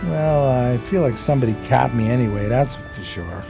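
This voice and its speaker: An actor playing a dim, streetwise, loyal homeless sidekick in an American radio play, with hesitant, slangy street talk. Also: male voice, mid-range voice, expressive, moderate pace, gravelly. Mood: sly, weary. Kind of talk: radio play dialogue and narration. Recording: music behind the voice.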